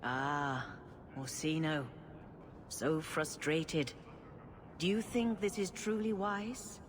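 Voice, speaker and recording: Slow-spoken, condescending elderly holy matriarch. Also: female voice, low voice, steady tone, a little hiss or hum. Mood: mildly reproving. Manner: measured, gently patronizing, genteel. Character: serene, condescending elderly female religious leader. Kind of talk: dramatic fantasy video game acting.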